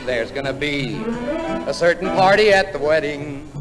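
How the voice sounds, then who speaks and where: A man's voice: a little high, moderate pace, loud music behind the voice. A man singing swing live on a theatre stage in a 1950s style. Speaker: singer. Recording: 1950s live theatre recording, loud band. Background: loud brass band.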